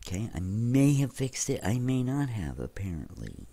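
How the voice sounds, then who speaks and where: A man's voice: on the low side, wildly swooping pitch, normal speed, warm tone. A young man hosting a let's play, chatting casually with viewers while sounding stuffy and congested. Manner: chatty, addresses viewers directly. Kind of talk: casual gameplay commentary.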